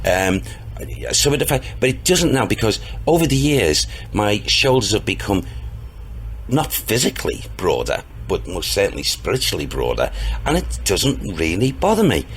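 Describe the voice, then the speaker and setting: A man with a booming, deep voice, talking earnestly as an interview guest about his work as a spiritual medium, rambling a little with restarts and hesitations. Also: sing-song, normal speed, slight background noise. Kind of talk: casual interview talk.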